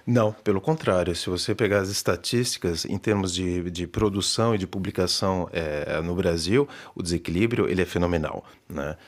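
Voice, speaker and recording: A literature professor answering radio interview questions in a measured way, with many hesitations and restarts. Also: male voice, low voice. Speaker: literature professor and festival organizer.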